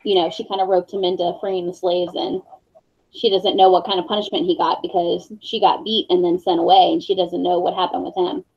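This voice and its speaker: A woman with a creaky, fry-laden voice at average pitch chats about books in a casual group call. The audio is a bit dull and lo-fi. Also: flat delivery, a little fast, a little mellow. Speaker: book club member. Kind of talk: casual book discussion. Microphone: group video call.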